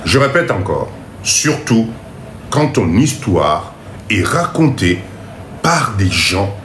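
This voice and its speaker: A man's voice: medium pitch, fairly bright tone. A man with a Congolese French accent and a blocked nose from a cold, hosting a motivational live stream in an emphatic, repetitive, urging way. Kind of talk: motivational live talk to viewers. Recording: roomy echo, faint background noise.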